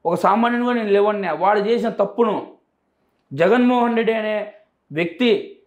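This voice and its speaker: Male interviewee, Rayalaseema Telugu accent, high voice, emphatic and repetitive delivery, roomy echo. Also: moderate pace. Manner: emphatic, repeats phrases for emphasis. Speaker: interview guest. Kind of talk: answering interview questions. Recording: echoey, roomy sound.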